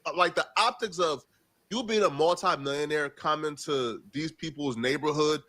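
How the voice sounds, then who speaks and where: A man hosting a reaction show, giving casual, animated commentary in slangy street talk. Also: fairly high pitch, normal speed, no room sound. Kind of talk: casual commentary on court news.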